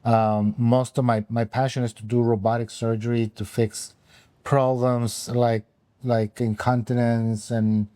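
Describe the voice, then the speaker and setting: A man, an OB/GYN guest telling his career story in halting, unhurried speech full of ums. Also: mid-range voice, flat delivery, warm tone, no room sound. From Mexico. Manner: halting, with frequent ums and restarts. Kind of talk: conversational interview answers.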